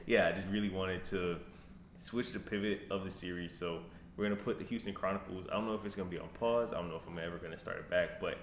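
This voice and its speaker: Very deep-voiced man in his twenties. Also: fast. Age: mid-twenties. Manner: rambling, repeats phrases, relaxed. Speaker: vlogger. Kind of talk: casual vlog talk to camera. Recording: echoey room with background noise.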